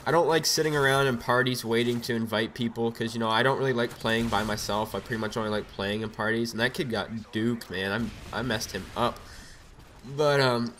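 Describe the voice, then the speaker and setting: A man's voice: medium pitch, fairly even intonation, a little fast, fairly bright tone, a little hiss or hum. Young man with a cold, rambling over gameplay. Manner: rambling, filler-heavy, laid-back. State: has a cold, a bit raspy. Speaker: gameplay commentator. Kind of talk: casual gameplay commentary. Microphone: USB desk mic.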